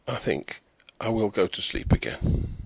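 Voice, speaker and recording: Man doing a flighty young princess, pitched up. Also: lively intonation, unhurried, vocal fry, husky, no echo, full character voice. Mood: breezy. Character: flighty, blithe, self-absorbed young princess.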